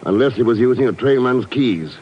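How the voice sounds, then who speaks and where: An actor playing a cool, shrewd secret agent with a clipped, dry manner in a 1950s radio drama. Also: male voice, medium pitch, animated, brisk, over loud music, natural voice. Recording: muffled, hissy old radio recording.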